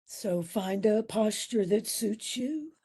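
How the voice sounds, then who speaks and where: A woman leading a guided meditation as instructor, gentle and soothing, with long pauses and a breathy voice that at times nears a whisper. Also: mid-range voice, expressive.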